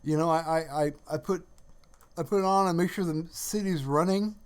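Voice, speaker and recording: A man chatting casually on a livestream in a halting, rambling way, often searching for words. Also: fairly high pitch, clear, resonant tone. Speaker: live streamer.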